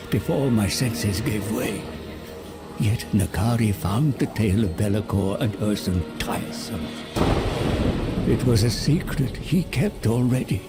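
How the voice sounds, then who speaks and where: An actor playing a scheming, servile mortal advisor, narrating a fantasy game cutscene in a measured, portentous, coaxing way. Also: male voice, on the low side. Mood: haunted, intense. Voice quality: gravelly.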